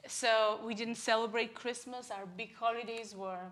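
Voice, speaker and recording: A woman's voice: a little high, steady tone, moderate pace, fairly bright tone. A woman in her forties with a Bulgarian accent, sharing a personal testimony in a plain-spoken, reflective way.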